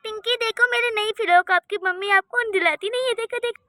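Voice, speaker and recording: A woman's voice: very high voice, brisk, sharp tone, no echo, clean studio sound. A woman voicing a cheeky little village girl who complains in a childlike way in a children's cartoon story. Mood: excited.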